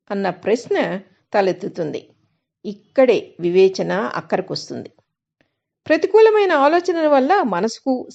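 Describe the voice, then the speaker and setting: A woman reading a scripted reflective essay aloud in a measured, instructive manner. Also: fairly high pitch, darkish tone. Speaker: reader of articles and book excerpts. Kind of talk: scripted reading of a spiritual self-help essay. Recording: dull, lo-fi sound.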